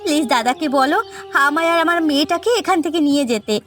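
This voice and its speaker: Woman as high-voiced wronged young widow. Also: fast talker, fairly bright tone. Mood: pleading. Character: wronged young widowed daughter-in-law, pleading yet defiant. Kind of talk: Bengali cartoon family drama acting. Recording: background music.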